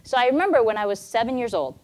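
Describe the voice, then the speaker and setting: A woman's voice: fairly high pitch, lively intonation, fast. An American woman in her thirties, a native Mandarin speaker, telling her own story as a guest speaker at a church, in an earnest, conversational way. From United States. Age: 30s. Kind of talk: personal testimony talk.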